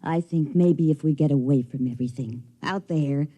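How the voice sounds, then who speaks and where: A woman's voice: low-pitched, normal speed, dark tone, rough recording. An American actress playing a weary, restless middle-aged woman in a 1950s radio drama. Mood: earnest pleading. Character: weary, restless woman longing for a clean start.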